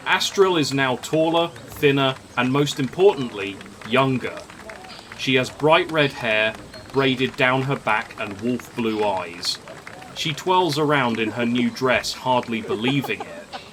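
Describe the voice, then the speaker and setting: A man's voice: mid-range voice, fairly bright tone, slight background noise. A man reading scripted story narration. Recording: music under the voice.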